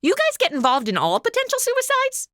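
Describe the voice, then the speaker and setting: A woman's voice: very high-pitched, wildly swooping pitch, fairly quick, fairly bright tone, no echo, clean studio sound, exaggerated voice. A woman playing a flippant, chatty, clueless apprentice angel in an audio comedy, talking in casual slang. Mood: sassy.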